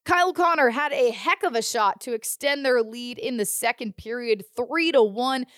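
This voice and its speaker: A woman's voice: a little high, normal speed, fairly bright tone, clear, resonant tone, no echo, clean studio sound. A woman hosting a sports podcast, reading scripted news and recaps. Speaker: podcast host.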